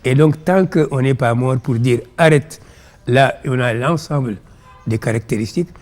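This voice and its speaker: A man with a Senegalese accent and Pulaar as his first language, explaining ideas in measured, didactic phrases as an interview guest. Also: medium pitch, a little mellow, faint music behind. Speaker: interview guest, philosophy author.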